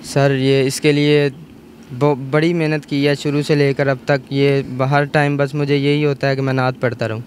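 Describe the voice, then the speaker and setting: A man's voice: mid-range voice, level tone, moderate pace, slight background noise. A nineteen-year-old man giving short, deferential answers as an interview guest. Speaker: interview guest, amateur naat reciter. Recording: echoey, distant microphone.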